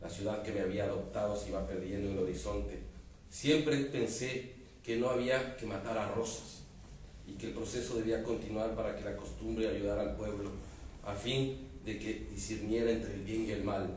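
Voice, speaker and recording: Ecuadorian guy, author reading his novel out loud, really echoey room, mic far off. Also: lowish voice, fairly even intonation, normal speed. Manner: reads aloud with rising dramatic emphasis. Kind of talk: book presentation with reading aloud. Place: embassy event hall. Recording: echoey room, distant mic, faint noise.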